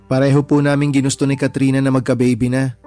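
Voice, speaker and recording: A man's voice: mid-range voice, level tone, fast, dark tone, soft background music, natural voice. A man acting in a radio drama as a meek young son-in-law, respectful, earnest and pleading. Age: young adult.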